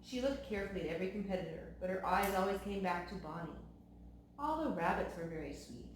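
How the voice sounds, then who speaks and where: A woman's voice: mid-range voice, animated, quick pace. Echoey storytelling woman reading aloud. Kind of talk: expressive children's storybook read-aloud. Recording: very echoey room, faint noise.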